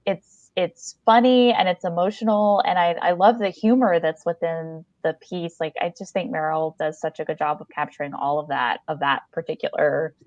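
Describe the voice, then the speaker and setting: A woman's voice: fairly low pitch, fairly even intonation, moderate pace, hardly any pauses, a little mellow, dry recording, muffled recording. A woman hosting a casual live podcast chat, speaking in an enthusiastic, complimentary way. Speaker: podcast host.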